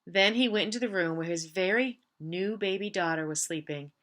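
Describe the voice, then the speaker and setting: A woman's voice: medium pitch, moderate pace, a bit bright. A woman reading a picture book aloud to young pupils as a member of school staff, lingering on repeated phrases. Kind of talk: children's storybook read-aloud.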